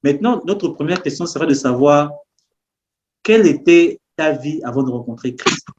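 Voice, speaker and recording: A man's voice: fairly high pitch, moderate pace, a little mellow. Man hosting, muffled sound. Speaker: host. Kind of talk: conversational interview hosting.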